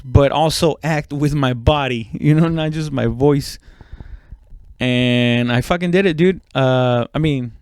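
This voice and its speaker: A man's voice: mid-range voice, smooth, no room sound, clean studio sound. A man giving a casual, rambling comedic monologue, self-deprecating and swearing freely. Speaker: comedian and podcast host.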